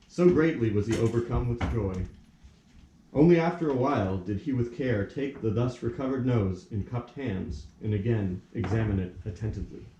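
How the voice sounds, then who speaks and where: Mellow male storyteller in an echoey room. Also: medium pitch, highs cut off, slight background noise. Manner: formal, measured storytelling. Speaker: narrator. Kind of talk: scripted storybook narration for puppet theatre.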